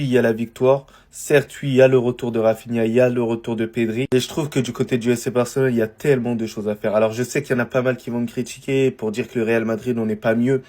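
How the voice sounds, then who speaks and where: Blunt man talking in a flat voice. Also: mid-range voice. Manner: blunt and critical, outspoken. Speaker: football YouTuber. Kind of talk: casual video commentary.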